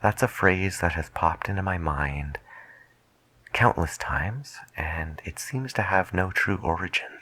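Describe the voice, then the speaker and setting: A man playing a musing late-night radio DJ, soft-spoken and deliberate in an ASMR style. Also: low voice, heavily acted. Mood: musing, hushed. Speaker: radio host.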